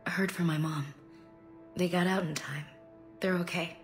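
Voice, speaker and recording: An American actress playing a young soldier in a video game, subdued and weary, hesitant and understated. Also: female voice, on the low side, steady tone, moderate pace, close to an everyday voice. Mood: subdued, unsure. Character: young female human soldier, subdued, grieving, dutiful. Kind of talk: naturalistic game dialogue acting. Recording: game music behind dialogue.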